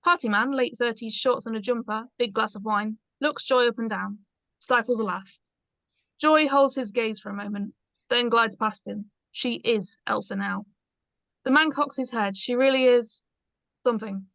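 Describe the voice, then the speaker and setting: A woman's voice: fairly high pitch, normal speed, creaky, a bit bright, no room sound. A woman reading stage directions aloud as scripted narration in a screenplay read-through.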